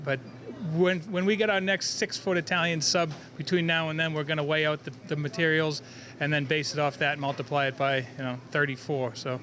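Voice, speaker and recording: A man's voice: fairly high pitch, level tone, fairly quick, bright, forceful tone. A man giving informal interview answers as a local business representative, with traffic and urban noise behind him. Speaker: sandwich shop representative being interviewed.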